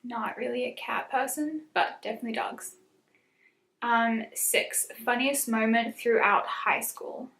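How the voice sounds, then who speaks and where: A woman's voice: a little high, fairly even intonation, unhurried, creaky, a bit bright. Twenties girl from south africa rambling on her vlog, lots of ums and likes, echoey room. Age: 20s. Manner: chatty, laughs often, many "um"s and "like"s. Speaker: YouTuber. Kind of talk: casual get-to-know-me chat.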